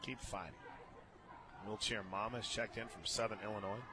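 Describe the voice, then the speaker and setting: A man with an American accent doing live play-by-play radio commentary of a softball game, moving between chatty asides and excited calls. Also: lowish voice, normal speed, crowd noise. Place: open radio booth at a ballpark. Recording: outdoor stadium noise behind the voice. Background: ballpark ambience.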